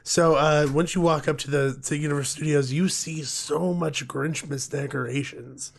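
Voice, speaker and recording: A man co-hosting a podcast with a hoarse, gruff voice, chatting casually and joking around. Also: on the high side, fairly even intonation, normal speed. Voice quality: gruff, rough. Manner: joking, rambling, laughs often. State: hoarse, losing his voice. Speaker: podcast co-host. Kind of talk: casual chat.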